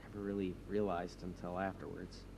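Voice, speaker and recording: A man's voice: low voice, flat delivery, brisk, creaky, darkish tone, slight background noise, rough recording. A man playing an insecure young superhero leader, hesitant and earnest, in naturalistic audio drama dialogue. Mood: bitter. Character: insecure young male superhero leading a movement.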